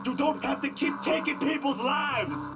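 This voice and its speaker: An actor playing an earnest, stubborn young photographer in a horror video game, with slangy, emphatic, pleading speech. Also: male voice, extremely high pitch, moderate pace, background noise. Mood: frightened, pleading. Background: game music.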